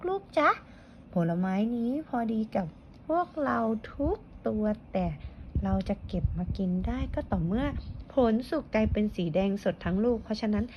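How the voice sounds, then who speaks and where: A woman voicing a gentle, patient mother mouse in a storybook reading, speaking softly and coaxingly. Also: medium pitch, animated, normal speed, lightly acted. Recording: dull lo-fi with faint noise.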